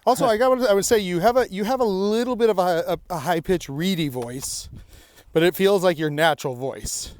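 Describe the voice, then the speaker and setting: A man's voice: sits high, a little fast, no room sound. A man co-hosting a podcast, chatting casually and rambling a little as he walks an outdoor mountain trail. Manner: chatty, rambling, laughs often. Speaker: podcast co-host. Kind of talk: casual chat on a hike.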